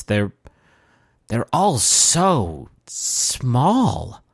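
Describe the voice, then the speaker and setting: A man playing a weary, quietly despairing nihilist villain in a tabletop game, given to halting, grandiose philosophical monologues. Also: mid-range voice, no room sound, clean studio sound. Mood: weary, disillusioned.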